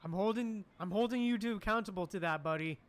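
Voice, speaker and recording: A woman's voice: mid-range voice, fast talker. A woman giving casual live gameplay commentary, with encouraging interjections and repeated phrases. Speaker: streamer reacting to a game. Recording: faint game music behind.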